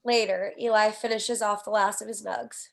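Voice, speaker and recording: A woman narrates a screenplay at a table read, reading out the stage directions. Her voice sits at a medium pitch for a woman, in a scripted narration style. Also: fairly even intonation, normal speed, vocal fry.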